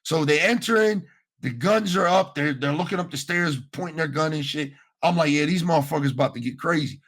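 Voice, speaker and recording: Slangy gravelly-voiced male gaming podcaster. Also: fairly high pitch, fairly quick. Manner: slangy, profane, animated retelling with acted-out quotes. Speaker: podcast host. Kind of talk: casual gaming podcast storytelling.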